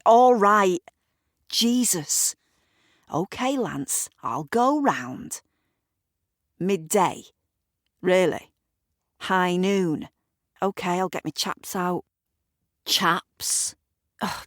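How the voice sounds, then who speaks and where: A woman voicing a sharp-tongued, resentful woman arguing with her father, bitter and sarcastic. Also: mid-range voice, lively intonation, extremely slow, long pauses, dry recording, clean studio sound. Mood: exasperated. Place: recording studio.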